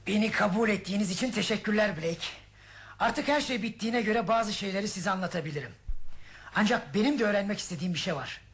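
An actor as a young male impostor in a radio play, with a very creaky voice pitched unusually light for a man. The schemer speaks with cool, resigned candour. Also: quick pace, fairly bright tone, natural voice. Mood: polite curiosity. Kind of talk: Turkish radio theatre acting.

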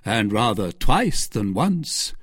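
A man's voice: on the low side, big pitch swings, slow, fairly bright tone, slightly rough, no echo, studio quality, heavily acted. A man voicing a haughty noble duke. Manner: haughty, commanding.

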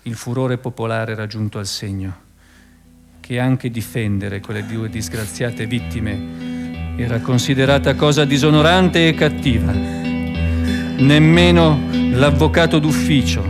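A man narrating a scripted radio play in a measured, dramatic, theatrical manner. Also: medium pitch. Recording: long hall reverb, music behind.

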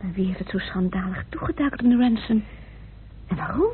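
A woman's voice: medium pitch, brisk, lightly acted. An actress playing a genteel, easily shocked lady in a radio drama, polite and given to exclamations. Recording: noisy, tinny old radio recording.

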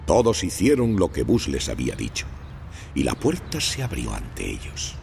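A man narrating a children's storybook with expressive storytelling emphasis. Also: a bass voice, moderate pace, vocal fry. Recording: music playing under the voice.

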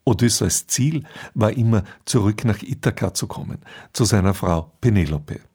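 A man presenting a scripted introduction to a radio drama as its host, with a husky voice. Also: on the low side, expressive, moderate pace, darkish tone.

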